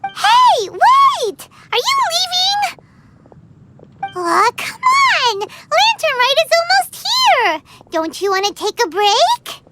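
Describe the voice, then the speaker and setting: A woman voicing a small, childlike, excitable floating companion creature in a video game, squeaky and exclamatory. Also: slow, very noisy, exaggerated voice. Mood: pleading. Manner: whiny, exclamatory, speaks of self in third person.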